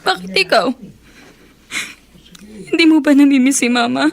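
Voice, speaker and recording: Very high, plaintive little girl. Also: moderate pace, creaky. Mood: hurt. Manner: plaintive, childlike. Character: tearful little girl, youngest child missing her mother. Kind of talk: Filipino radio drama acting.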